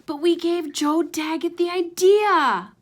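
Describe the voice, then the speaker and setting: Woman as a feisty, high-pitched scrappy boy. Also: slow pace. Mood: insistent. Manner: hollering, indignant. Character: feisty, scrappy, grumbling boy, the hero's best friend. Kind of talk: children's storybook read-aloud character.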